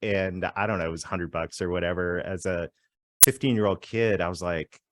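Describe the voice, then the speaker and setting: A man's voice: deep voice, a little fast, noisy background. A Canadian man from southwestern Ontario, a guest on a casual podcast interview, telling stories in an upbeat way and laughing often. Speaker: guest, growth strategist.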